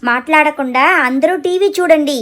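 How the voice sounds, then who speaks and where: A woman voicing a flustered, fretful mother in an animated family story, speaking in worried exclamations. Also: high voice, normal speed. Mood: bossy. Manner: exclamatory, fretting.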